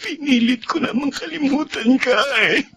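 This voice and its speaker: Young lovelorn man, high-pitched, anguished, melodramatic, radio drama. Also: moderate pace, fairly bright tone, soft background music. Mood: anguished, tearful. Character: lovesick young man hiding his love for his male friend. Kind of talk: Tagalog radio drama acting.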